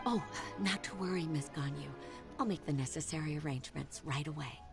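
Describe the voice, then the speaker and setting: Low-voiced deferential assistant. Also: female voice, fairly quick, vocal fry, over music. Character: deferential, helpful female office assistant. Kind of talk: scripted video game dialogue.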